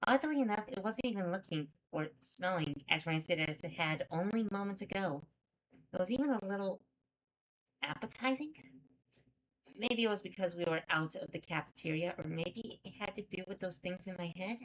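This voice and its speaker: Woman performing exasperated, sarcastic webcomic heroine, lowish voice. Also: moderate pace, lightly acted, poor audio. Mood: reflective. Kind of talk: dramatic first-person comic readalong narration.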